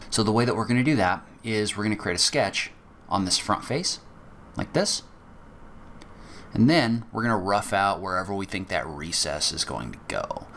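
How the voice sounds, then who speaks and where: A man teaching a software tutorial, explaining each step methodically in a casual way with occasional fillers. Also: lowish voice, expressive, normal speed, slight background noise. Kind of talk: screen-recorded software walkthrough.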